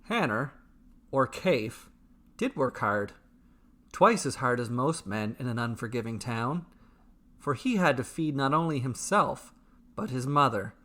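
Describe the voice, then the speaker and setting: A man reading a scripted fantasy story as its storyteller, in measured, dramatic, deliberately broken phrases. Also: medium pitch, lively intonation, bright, forceful tone. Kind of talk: scripted fantasy bedtime narration.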